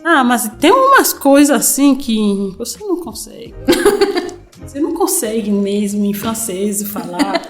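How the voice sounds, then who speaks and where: A woman's voice: fairly high pitch, sing-song, faint music behind. A woman from Congo with a French-influenced accent, a podcast guest chatting and searching for words. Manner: halting, searching for words, laughs often. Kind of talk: casual chat.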